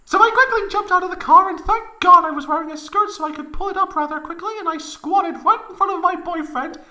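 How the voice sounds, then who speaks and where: A woman's voice: very high voice, fairly quick, sharp tone. A woman playing a proud, melodramatic young woman recounting an embarrassing tale in a comedic fan fiction reading, overwrought and close to giggling. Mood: anguished, overwrought.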